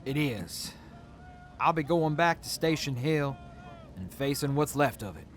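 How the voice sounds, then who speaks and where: A gentlemanly major in a wasteland radio drama. He speaks slowly and sincerely in a slightly high voice. Faint ambient noise sits behind him. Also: lively intonation. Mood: grateful. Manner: earnest, gentlemanly. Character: sincere, grateful military major saying farewell. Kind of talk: post-apocalyptic audio drama acting.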